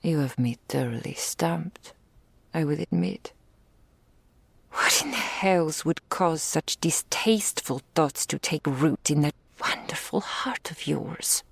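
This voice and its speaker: A refined, hoarding dragon matriarch, acted by a woman for an intimate ASMR roleplay. She speaks slowly and grandly in a low, creaky voice, doting and possessive. Phrases soften into whispers, all very close and dry. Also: lively intonation, no echo, exaggerated voice. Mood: puzzled, affronted. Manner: grandiloquent, slow, affectionate, with breathy whispered phrases.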